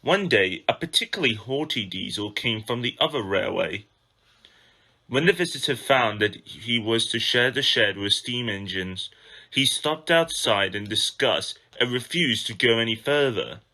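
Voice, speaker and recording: Bright-voiced man reading a story. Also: medium pitch, expressive, measured pace. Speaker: amateur storybook narrator. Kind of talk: scripted children's story narration.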